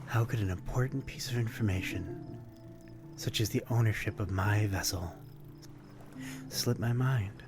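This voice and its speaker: A man playing a courtly, melancholy vampire stranger in an intimate ASMR roleplay, speaking in a formal, old-fashioned, gently wistful way. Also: on the low side, a little slow, pauses often, music in the background, natural voice. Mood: nostalgic.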